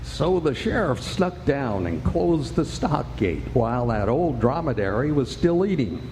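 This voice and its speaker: A man's voice: medium pitch, sing-song, unhurried, a little hiss or hum. A man narrating a Western radio play as a genial, folksy storyteller. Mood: storytelling. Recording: echoey hall, loud music behind. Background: music.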